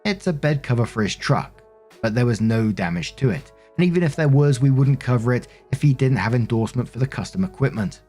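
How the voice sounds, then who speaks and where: A man's voice: medium pitch, animated, a little fast, dark tone, faint music behind. A man narrating online stories in a plainspoken, conversational way, as a host who reads aloud and comments casually. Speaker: narrator and host of a story-reading show.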